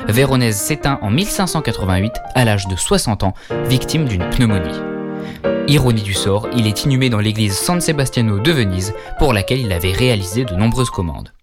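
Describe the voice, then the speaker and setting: A man's voice: lowish voice, lively intonation, brisk, over loud music. A man narrating a scripted art-history lesson, speaking directly to viewers with questions and wry asides. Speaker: educational video narrator.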